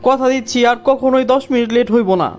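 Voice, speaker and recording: An unusually high-pitched, jilted cartoon boyfriend acted by a man with a Bangladeshi accent. He rattles along quickly, plaintive and pleading, with petty grievances. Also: flat delivery, faint music behind. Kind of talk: cartoon comedy dialogue.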